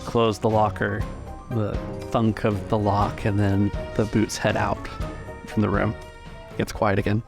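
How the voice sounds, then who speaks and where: A man's voice: lowish voice, monotone, a little slow, gravelly. A man narrating scenes as game master of a tabletop roleplaying game. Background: music.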